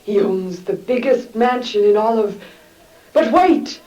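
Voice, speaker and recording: A woman's voice: on the high side, lively intonation, measured pace, warm tone, dull audio. A woman playing an eerie, theatrical phony medium in a 1950s radio comedy, speaking in a mystical, portentous way. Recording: distant old radio recording with audience.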